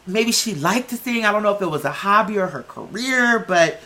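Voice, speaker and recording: A comic storyteller putting on a phony male TV psychic who speaks haltingly, with drawn-out, feigned innocence and wonder. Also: mid-range voice, animated, bright, forceful tone. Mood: halting, channeling. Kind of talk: mocking impression of a psychic reading.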